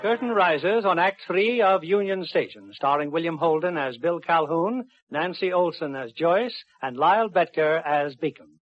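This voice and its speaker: A male announcer in vintage radio style. His fairly high voice is formal and measured. Loud music plays behind him on a dull, aged recording.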